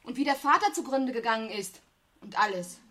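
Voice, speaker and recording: A defiant younger sister in a radio drama. Her voice is fairly high and forceful, with a reproachful, emphatic delivery. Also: animated, a little fast. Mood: bitter. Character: aggrieved, proud young working woman, defiant sister. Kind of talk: German radio play acting.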